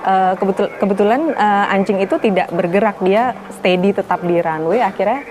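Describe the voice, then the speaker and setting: A woman with a medium-pitched voice explains her work in an interview. She has an Indonesian accent, pauses often and drops in English jargon, with music clearly behind her. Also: darkish tone. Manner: hesitant, mixes in English terms. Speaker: air traffic controller.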